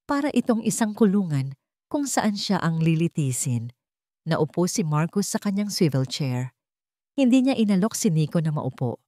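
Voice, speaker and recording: A woman with a Filipino accent narrates a dramatic story. Her voice sits on the low side for a woman. The sound is dry, with very little room in it. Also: dark tone, dry recording.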